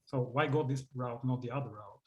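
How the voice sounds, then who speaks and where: A man with a mid-pitched voice puts a question to an academic speaker at an online seminar. He is deliberate and polite, yet challenging in how he frames it. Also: darkish tone. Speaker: audience questioner.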